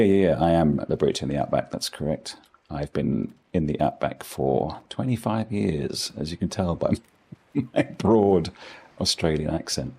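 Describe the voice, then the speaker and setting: A man's voice: rumbling low, vocal fry, darkish tone, husky. A middle-aged man with a posh English accent, chatting casually with viewers while playing a game, rambling with dry self-deprecating humour. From England. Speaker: gaming streamer.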